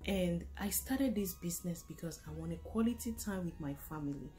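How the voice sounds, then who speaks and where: A woman's voice: on the low side, expressive, moderate pace, warm tone, faint music behind. A woman from Cameroon giving a sincere, grateful personal testimonial. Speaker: healthcare worker.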